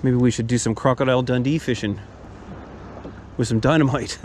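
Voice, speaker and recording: A man chatting casually and muttering quietly while fishing from a kayak, recorded on an action camera out on the water. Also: medium pitch, moderate pace. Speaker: fishing vlogger. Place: kayak on open water, outdoors. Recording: wind and water noise outdoors.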